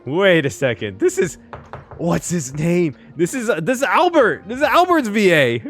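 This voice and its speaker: Casual game-reaction host: expressive, excitable, very varied pitch, soft music underneath. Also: moderate pace. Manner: excitable, giggly, expressive, swearing.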